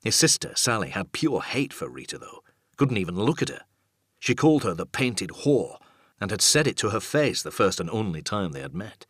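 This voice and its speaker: A man reading a dark thriller audiobook as scripted narration, measured and suspenseful. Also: lowish voice, big pitch swings, slightly rough, no room sound, clean studio sound.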